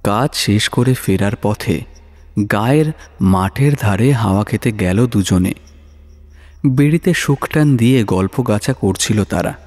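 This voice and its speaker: A man narrating a scripted horror story in a dramatic, measured, suspenseful manner. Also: on the low side, darkish tone. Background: music.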